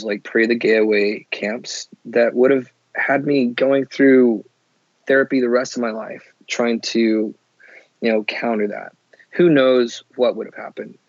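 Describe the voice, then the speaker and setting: A man's voice: mid-range voice, creaky, no room sound, highs cut off. A man from Oklahoma, a guest talking earnestly and thoughtfully in an interview, often restarting his sentences. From Oklahoma, USA. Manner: earnest, thoughtful, with frequent restarts and "you know". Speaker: podcast guest. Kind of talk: candid interview conversation.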